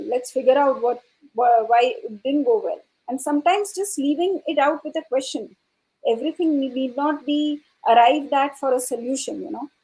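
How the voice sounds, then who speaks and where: A woman speaking as an educator on a panel, explaining her views in a halting, thoughtful way and often repeating words. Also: sits high, dark tone, rough recording. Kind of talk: panel discussion answer, explanatory.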